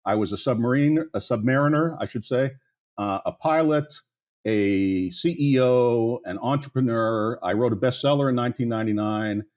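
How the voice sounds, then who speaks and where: A man's voice: mid-range voice, moderate pace, muffled recording. A man in his sixties from the Pittsburgh area, speaking as a guest on a podcast interview in a blunt, candid way that rambles with many restarts. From United States, Pittsburgh area. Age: 60s.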